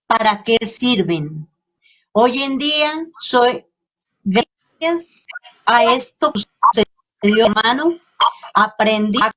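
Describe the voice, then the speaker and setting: A woman's voice: on the high side, fairly bright tone, rough recording. A woman giving personal testimony earnestly, in simple, broken phrases. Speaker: guest giving testimony, human rights worker.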